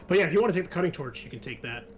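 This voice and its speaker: A man's voice: a little high, animated, racing. A man acting as game master, casually narrating a tabletop game and explaining things in a hedging way. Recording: music playing behind the voice. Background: music.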